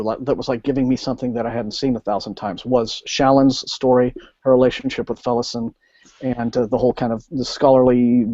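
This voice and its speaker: Male book-show host, unscripted and halting, thinks aloud; dry, lo-fi online audio. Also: mid-range voice, dark tone, dry recording. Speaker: host of a book discussion liveshow. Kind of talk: casual unscripted book discussion. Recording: dull, lo-fi online call audio.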